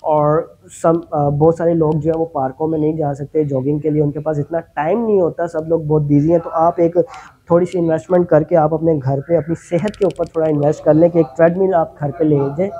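A man's voice: fairly high pitch, mellow, muffled recording. A man with a Pakistani Urdu accent hosting a casual product review in a gym equipment showroom, chatting to viewers and calling them guys. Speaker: YouTuber host.

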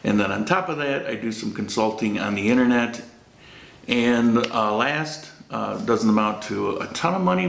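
A middle-aged man chats through viewer questions in a casual, joking way. His voice is low and gravelly. The room around him rings with echo. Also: fairly bright tone, slight background noise. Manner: joking, laughs often. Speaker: vlog host. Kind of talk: casual Q&A chat. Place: small warm-lit room indoors. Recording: roomy echo.

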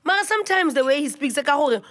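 A woman's voice: high-pitched, animated, fast talker, fairly bright tone. A woman of about forty with a South African accent, chatting casually as a host in a blunt, teasing way. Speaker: podcast host. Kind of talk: casual, playful chat. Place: indoor lounge, on a sofa. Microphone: handheld microphone.

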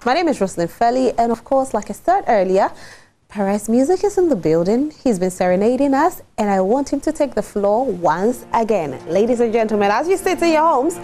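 A woman's voice: fairly high pitch, big pitch swings, fairly quick, dark tone, soft background music. A woman in her thirties with a Ghanaian accent, hosting a morning TV show, asking probing questions with playful teasing. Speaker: TV show host. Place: bright TV studio.

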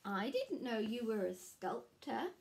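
Female storybook circle, acted by a woman: assured, effusive admirer with high, animated delivery. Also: measured pace, gravelly, exaggerated voice. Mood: admiring. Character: confident, admiring female circle, floating and gushing.

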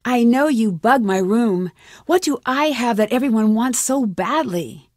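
Young American female sleuth for a game: determined, clearly spoken, higher-pitched, dry studio sound. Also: lively intonation, a little slow, dry recording. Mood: insistent, probing. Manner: assertive, questioning, clearly enunciated. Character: determined young female amateur detective, plucky and probing. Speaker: game protagonist. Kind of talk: video game voice acting.

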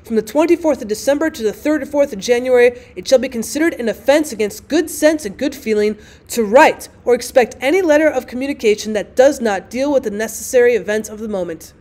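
A woman's voice: fairly high pitch, quick pace, few pauses, a little mellow, natural voice. A woman voicing a gloomy, fussy husband in a storybook, with formal, declamatory phrasing. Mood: resolute. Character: gloomy, fussy, indignant husband, grandly pedantic.

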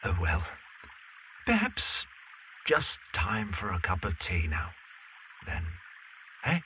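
A man acting a jolly, presumptuous young gentleman in an audio drama, breezy and exclamatory. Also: sits low, wildly swooping pitch, slow pace, slight background noise, exaggerated voice, low-quality recording. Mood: cheekily hopeful.

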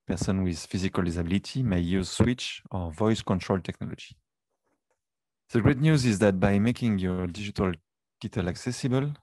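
A man with a low voice explains slides as a webinar presenter. His English has non-native phrasing, and the sound is dry and close. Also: a little mellow, dry recording. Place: indoors, webinar call.

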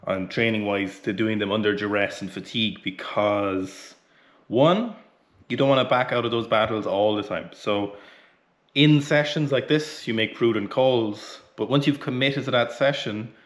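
Irish male coach, 30s, slightly low pitch, casual, swears freely, sweaty between lifts. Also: moderate pace. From Ireland. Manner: blunt, chatty, swears casually. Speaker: weightlifting coach. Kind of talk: casual training vlog talk. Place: small indoor home gym, warm. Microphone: camera close in front.

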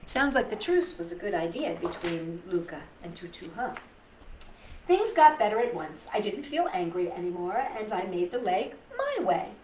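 A middle-aged woman reads a children's story aloud with a lively, swooping delivery. She pauses for effect and slips in chatty asides. The sound is roomy and a little distant, with some noise behind. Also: medium pitch. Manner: animated, dramatic pauses, addresses young listeners. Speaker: storytime host reading picture books to children. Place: indoors in a living room.

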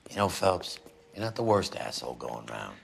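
A world-weary older male detective in a crime game. His very low voice is gruff and gravelly, with faint noise around it. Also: sing-song, normal speed, exaggerated voice. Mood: grudging. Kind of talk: dramatic period crime game acting.

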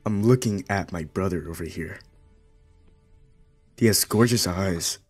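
Low-voiced man doing goofy deadpan dubbing. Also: unhurried, husky, faint music behind. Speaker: amateur fan dubber. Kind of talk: improvised comedic voice-over of a cartoon.

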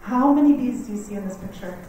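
A woman in her thirties with a quiet, slightly scratchy voice gives a friendly, chatty talk in a lecture hall, laughing often. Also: medium pitch, fast. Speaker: guest speaker on native bees. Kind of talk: community lecture. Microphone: standing mic heard from a distance. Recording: echoey hall, mic far off, faint noise.

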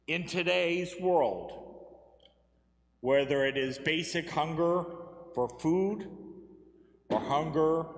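Earnest priest with a high voice in an echoing church. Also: male voice. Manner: measured, earnest, with slow deliberate pauses. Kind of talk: Sunday homily. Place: church sanctuary, at a lectern. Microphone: lectern mic, far camera. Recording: echoey church with long reverb.

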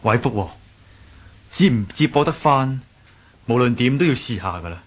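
Man acting earnest, husky young sect leader. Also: mid-range voice, lively intonation, moderate pace, natural voice. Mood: calm, concerned. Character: earnest young male martial arts sect leader, anguished and hot-headed. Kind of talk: Cantonese radio drama acting.

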